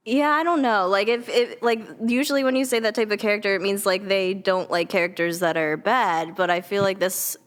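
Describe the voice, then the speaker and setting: Woman, podcast guest, medium pitch, informal chat, tentative phrasing, conversational. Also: fairly even intonation, a little fast, hardly any pauses, a bit bright. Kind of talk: casual chat.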